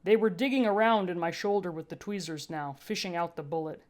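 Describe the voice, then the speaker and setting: A woman's voice: fairly low pitch, a little fast, darkish tone. A woman reading a first-person story aloud as scripted audiobook narration. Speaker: fan fiction audiobook reader.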